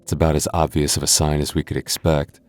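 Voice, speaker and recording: A man's voice: very deep voice, flat delivery, quick pace, darkish tone, slight background noise. A man voicing a playful, teasing young goth boyfriend in an ASMR roleplay, often stammering and chuckling. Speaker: voice actor.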